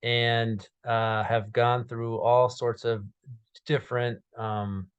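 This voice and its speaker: A man in his thirties from Minnesota who stutters, telling his personal story to a webcam in a small dark room, with repeated sounds, blocks and many fillers. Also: medium pitch, flat delivery, slow, clear, resonant tone, lo-fi sound. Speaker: guest speaker. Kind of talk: personal story told to camera.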